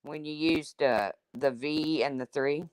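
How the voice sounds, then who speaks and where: Deep-voiced woman chatting with her viewers. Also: a little slow, a little mellow, no echo, poor audio. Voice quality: deep, husky smoker's voice. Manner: chatty, blunt, reacting to comments. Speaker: livestream host reading chat. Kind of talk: casual chat with viewers.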